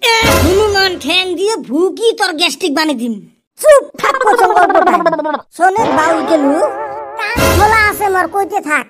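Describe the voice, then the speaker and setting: An extremely high, squeaky cartoon village lad with an artificially raised voice. He is cheeky and argumentative, bantering over loud music. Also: lively intonation, moderate pace. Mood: bickering. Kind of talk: comic cartoon dialogue.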